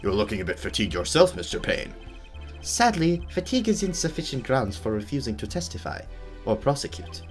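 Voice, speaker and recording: A man's voice: mid-range voice, very animated, brisk, soft background music, close to an everyday voice. A man voicing a stern, formal courtroom judge in a video game, speaking with authority. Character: stern, formal male courtroom judge presiding over trial. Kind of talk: video game dialogue read aloud.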